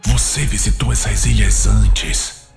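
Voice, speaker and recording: A man playing a cold, commanding demon master in an audio drama, with slow, curt, imperious speech and a deep, echoing, processed gravelly voice. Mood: contemptuous, menacing. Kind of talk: dramatic audio-series acting. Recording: loud music or effects behind.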